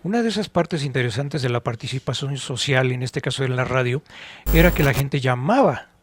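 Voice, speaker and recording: A man's voice: mid-range voice, lively intonation, fairly quick, a little hiss or hum. A man co-hosting a podcast, explaining and recalling things conversationally in slightly formal wording. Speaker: podcast co-host.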